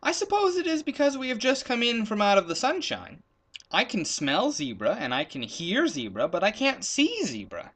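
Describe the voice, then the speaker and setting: A man plays an indignant, puzzled leopard from a children's storybook. The voice is raised high and bright, and it swings up and down with emphatic exclamations. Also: huge pitch swings, moderate pace, full character voice. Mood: baffled. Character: puzzled, indignant, hungry male leopard hunter.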